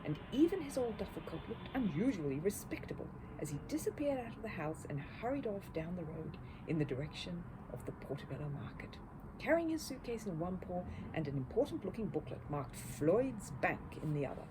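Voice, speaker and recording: A woman reading a children's story aloud as an expressive narrator, with noise in the recording. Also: fairly low pitch, brisk. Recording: clear background noise.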